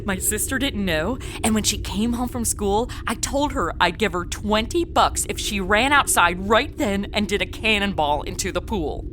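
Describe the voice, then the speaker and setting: A woman's voice: medium pitch, animated, normal speed, few pauses, a bit bright, slight background noise, lightly acted. A woman playing a sarcastic modern woman in a comedy audio drama, snarky, exasperated and colloquial. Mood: wry. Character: sarcastic modern woman stuck inside an old radio adventure.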